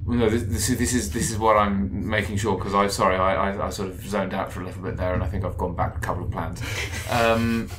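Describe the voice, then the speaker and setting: Lowish-voiced guy at a game table, halting and self-deprecating, noisy background. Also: fairly even intonation. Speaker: player. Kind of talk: casual tabletop game chat.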